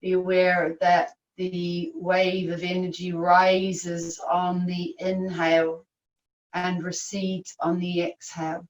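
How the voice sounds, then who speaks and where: Female yoga nidra teacher, lowish voice, soothing guided meditation, very unhurried delivery, recorded in an echoey room. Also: monotone, lo-fi sound. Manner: soothing, unhurried, long pauses. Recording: echoey room, distant microphone.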